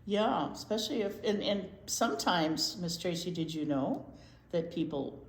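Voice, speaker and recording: A woman's voice: on the low side, expressive, unhurried, slight background noise. An older woman chatting casually and playfully to young children as a storytime teacher. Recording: echoey room.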